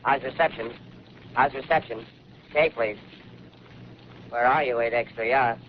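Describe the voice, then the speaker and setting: An actor playing an anxious ham radio operator in a 1930s radio drama, making repeated calls. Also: male voice, medium pitch, measured pace, a little hiss or hum, natural voice. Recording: lo-fi old radio broadcast.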